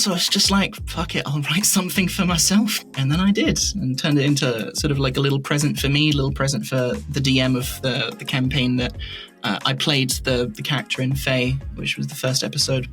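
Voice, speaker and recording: A man's voice: mid-range voice, fairly bright tone. A man chatting casually as a podcast guest, telling stories and acting out his own thoughts in little asides. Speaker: interview guest; voice actor and audio drama creator.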